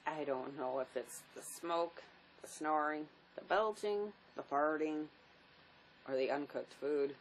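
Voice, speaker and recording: A woman's voice: sits low, takes it slowly. A woman acting a weary, grieving divorced mother in a drama, world-weary and subdued. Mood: wry. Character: grieving, weary divorced mother who lost her young son. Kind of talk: stage-style dramatic play acting. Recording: noisy amateur recording.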